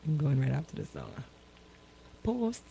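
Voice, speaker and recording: A woman with a Caribbean accent co-hosting radio in casual, playful, expressive banter. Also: low voice, a little slow, gravelly, a little hiss or hum, poor audio. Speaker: radio co-host.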